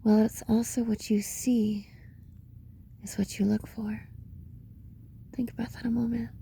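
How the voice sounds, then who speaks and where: A woman leading a guided meditation in a reflective monologue, speaking in short, paused phrases with a breathy voice that often slips into a whisper. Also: a little high, level tone, warm tone, a little hiss or hum. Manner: slow, pausing between short phrases.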